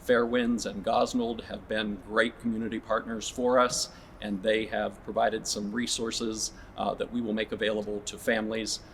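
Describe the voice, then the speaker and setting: A man speaking as a school administrator, making a formal public statement in a measured, businesslike way. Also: on the low side, little pitch movement, a little hiss or hum.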